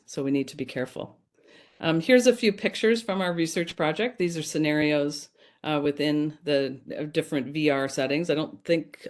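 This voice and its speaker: A woman's voice: low voice, darkish tone. A woman presenting her research in a conversational academic lecture, speaking informally and addressing audience members directly.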